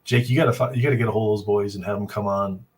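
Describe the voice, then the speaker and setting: Low-voiced host, upbeat, faint hiss behind. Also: male voice, steady tone. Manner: upbeat, reads chat aloud, quick fillers. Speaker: stream host and producer. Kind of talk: casual livestream hosting.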